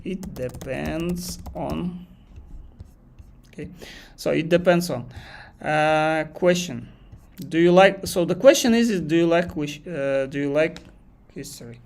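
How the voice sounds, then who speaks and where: A man's voice: a little high, slow pace, vocal fry, pauses often. A man from Kazakhstan with a Kazakh accent, teaching a live online English exam lesson and thinking aloud as he goes. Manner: thinks aloud, repeats phrases, reads chat questions aloud.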